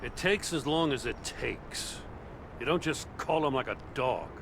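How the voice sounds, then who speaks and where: An actor playing a gruff, principled older police chief in a video game, speaking bluntly and earnestly. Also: male voice, mid-range voice, measured pace, background noise. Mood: gruff, defiant. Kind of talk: dramatic video game acting.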